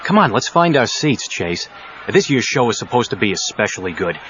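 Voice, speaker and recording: Low-voiced, wry leading man. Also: big pitch swings, fairly quick, fairly bright tone. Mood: smooth, flirtatious. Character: self-assured, wry, wealthy male leading man. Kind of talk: audio drama acting. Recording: dull cassette audio, faint noise.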